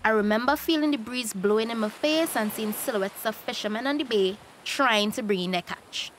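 A woman's voice: fairly high pitch, normal speed, a little hiss or hum, lightly acted. An actress with a Tobagonian accent playing a defiant, exasperated young woman in a radio drama. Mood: dreamy. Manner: sassy, indignant.

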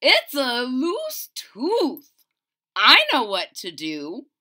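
A storybook mother as a woman reader plays her fusses and coos helpfully. Her voice is high and bright, with slow, emphatic phrasing. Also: huge pitch swings, heavily acted. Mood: concerned. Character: fussing, eager-to-help storybook mother. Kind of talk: children's storybook character voice.

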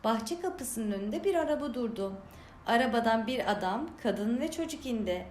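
A woman reading a scripted children's story aloud as storyteller, with an expressive delivery. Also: fairly high pitch, moderate pace. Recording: roomy echo, clear background noise.

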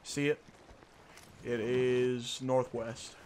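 Young man, game streamer, casual commentary, easygoing, swears lightly, medium pitch, noisy boat and water ambience behind. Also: level tone, drawn-out words, a little mellow. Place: indoor room at a desk. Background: game sounds of boat and water.

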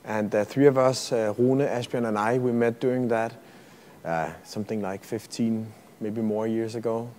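A Danish man in his thirties with a mellow, warm voice. He speaks softly and a bit hesitantly, pausing on fillers as he answers. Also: fairly low pitch, steady tone, a little hiss or hum. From Denmark. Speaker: violinist. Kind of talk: interview answers. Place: museum gallery.

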